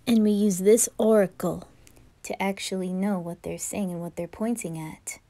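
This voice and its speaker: A woman voicing a cool, knowing young anime boy who explains things in a measured, hesitant way. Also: medium pitch, fairly even intonation, dark tone, natural voice. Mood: explaining. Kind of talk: anime fan roleplay.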